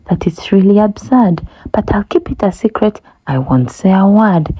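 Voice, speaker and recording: A nosy old hornbill gossip from a storybook, put on by a woman. She pries and exclaims in a raised voice with a slightly breathy, husky edge. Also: mid-range voice, lively intonation, moderate pace, warm tone, no room sound, dull audio, pitched above the performer's own voice. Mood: gossipy. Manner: prying, exclamatory.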